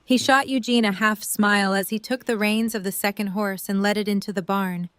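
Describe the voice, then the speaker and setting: A woman's voice: medium pitch, steady tone, brisk, a bit bright, clear, resonant tone, no room sound. A woman reading scripted story narration for an audio drama.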